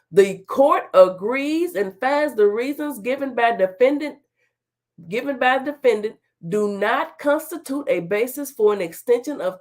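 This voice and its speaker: Stuffy-voiced woman hosting a legal talk show. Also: fairly high pitch. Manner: chatty, sassy asides between formal reading. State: stuffy, under the weather. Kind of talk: reading court documents aloud with commentary. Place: home studio with virtual background.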